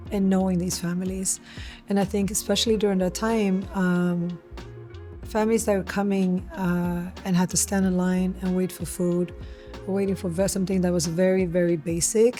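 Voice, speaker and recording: A woman's voice: lowish voice, mellow. A middle-aged woman who grew up in Sweden, talking earnestly and reflectively in an interview, explaining her work. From Stockholm, Sweden; born in Eritrea. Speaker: founder of a community food nonprofit, interviewee.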